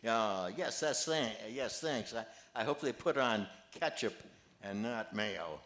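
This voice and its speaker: Older man acting friendly old male resident, mid-pitched, mild, conversational, amateur play reading, echoey room. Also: lively intonation, takes it slowly, a bit bright. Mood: amiable. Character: amiable old male retirement-home resident, mildly upbeat.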